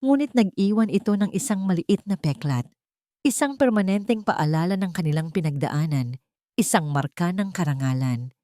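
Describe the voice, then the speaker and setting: A woman narrating a scripted dramatic story in a sentimental, measured storytelling manner. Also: lowish voice, expressive, mellow, no echo, clean studio sound.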